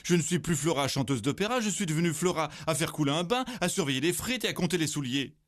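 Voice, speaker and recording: A man's voice: fairly high pitch, animated, fast, no echo, studio quality, pitched above the performer's own voice, close to an everyday voice. A man voicing a dramatic young mother who sings opera, in a theatrical, plaintive way for a children's cartoon. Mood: despairing.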